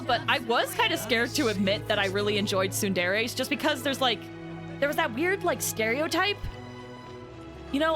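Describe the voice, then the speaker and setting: A woman live streaming a video game, reacting casually in an excitable, slangy, gushing way. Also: a little high.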